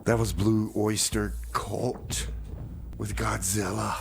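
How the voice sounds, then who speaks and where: A man's voice: fairly low pitch, flat delivery, takes it slowly, gravelly. A man hosting a community radio music show, casually back-announcing songs and playing along with comedy bits. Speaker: radio host and DJ.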